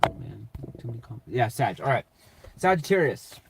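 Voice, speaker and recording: A man giving a casual, off-the-cuff tarot reading in a blunt, sweary, slangy way, with a slightly raspy voice. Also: medium pitch, sing-song, moderate pace, background noise. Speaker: tarot reader and channel host.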